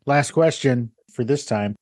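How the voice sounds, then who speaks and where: A man's voice: mid-range voice, measured pace, darkish tone, no echo. A man hosting a podcast interview, friendly and joking with his guest. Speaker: podcast host. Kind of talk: casual interview chat.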